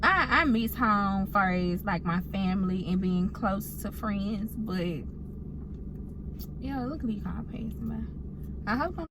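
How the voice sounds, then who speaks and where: A woman's voice: medium pitch, measured pace. A young woman with a Mississippi Southern accent, rambling casually with lots of fillers while driving her kids, recorded on a phone camera inside a car. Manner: relaxed, rambling, many fillers. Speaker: mom vlogger. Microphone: phone camera on a stand. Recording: loud car road noise.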